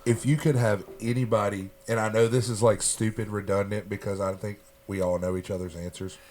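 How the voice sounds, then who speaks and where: A man's voice: fairly low pitch, level tone, a little fast, a little mellow, slight background noise. A man chatting casually as podcast host, laid-back and swearing freely. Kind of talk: casual chat.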